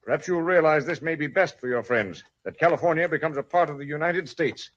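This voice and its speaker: An actor playing a formal, firm army captain in an old-time radio western. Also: male voice, a little high, a little fast, a bit bright. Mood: official, persuasive. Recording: muffled vintage radio drama recording.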